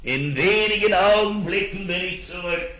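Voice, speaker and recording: An actor playing a bold, sly old magician from India in a fairy-tale radio play, courtly and theatrical. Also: male voice, high-pitched, moderate pace, sharp tone. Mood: confident. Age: older. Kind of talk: radio play acting for children.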